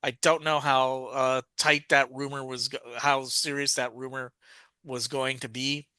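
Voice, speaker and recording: A man hosting a podcast in casual review chat, rambling and haltingly repeating and restarting his phrases. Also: mid-range voice, level tone, pushed and bright, smooth, dry recording. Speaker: podcast host.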